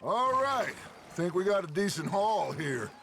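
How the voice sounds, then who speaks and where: An actor playing a genial, hearty outlaw gang leader in a video game, expansive and commanding in manner. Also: male voice, high-pitched, big pitch swings, a little slow, bright, forceful tone, heavily acted. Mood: satisfied, easygoing. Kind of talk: video game dramatic dialogue. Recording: clear water noise behind. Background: boat on water.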